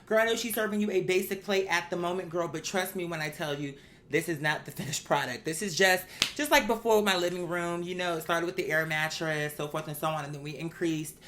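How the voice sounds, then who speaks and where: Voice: mid-range voice, fairly quick, fairly bright tone, a little hiss or hum. A host telling a story in a casual monologue, with a sassy, candid, profane and emphatic manner. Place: bedroom. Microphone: microphone.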